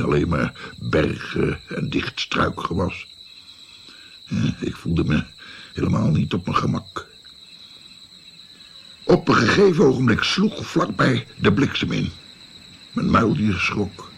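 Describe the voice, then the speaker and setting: Deep, gravelly old grandfather storyteller. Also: a bit bright, slight background noise, exaggerated voice. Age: older. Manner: unhurried, reflective storytelling. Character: old grandfather recounting his youthful journey, reflective. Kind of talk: radio drama narration.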